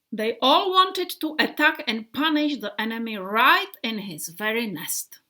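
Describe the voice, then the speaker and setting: A woman's voice: on the high side, sharp tone, heavily acted. A woman voicing an earnest male bomber plane in a storybook, vividly telling his friends about a dream.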